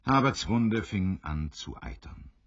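A man narrating an adventure radio play as a grave, expressive storyteller who builds suspense. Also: lowish voice, slow pace, no echo. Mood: suspenseful. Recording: slightly dull, dry studio recording.

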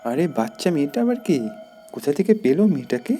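A woman's voice: low voice, very animated, fast, mellow, faint music behind. A woman voicing a stern grown man who scolds and threatens. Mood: scolding. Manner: harsh, scolding.

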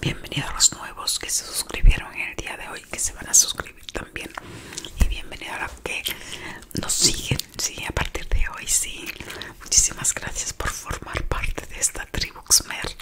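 A woman whispering close to the mic on a playful ASMR live stream, greeting chat viewers affectionately and softly repeating words. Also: normal speed. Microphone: close microphone.

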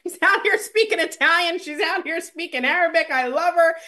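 A woman's voice: extremely high pitch, fairly quick. Gushing woman hosting a video call. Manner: excitable, gushing, many fillers. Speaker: webinar host. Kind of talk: enthusiastic product presentation. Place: home room, indoors. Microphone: video call webcam.

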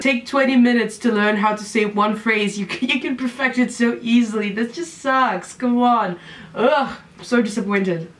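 A young woman from Russia with a Russian accent, reacting on camera in a small room with casual, sarcastic commentary. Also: fairly high pitch. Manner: exasperated, sarcastic, laughs often. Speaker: vlogger. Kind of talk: reaction video chat.

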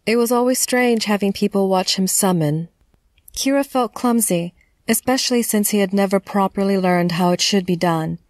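A woman narrating a scripted horror story in a measured, dramatic storytelling manner. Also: fairly low pitch, a little mellow, no room sound.

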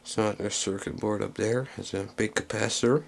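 A man giving a casual, unscripted hands-on demonstration in a low, creaky voice, recorded on a handheld camera microphone. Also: steady tone, moderate pace, slight background noise. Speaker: hobbyist video maker. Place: small indoor workroom.

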